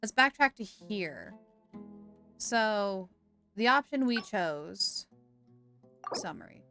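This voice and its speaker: A woman's voice: medium pitch, animated, takes it slowly, pushed and bright, soft background music. A woman live streamer giving casual, chatty commentary on a video game, thinking aloud and repeating herself.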